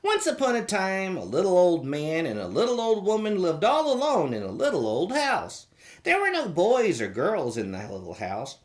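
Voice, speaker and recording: A children's show host reading a storybook aloud in a playful, theatrical, expressive way. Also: mid-range voice, normal speed.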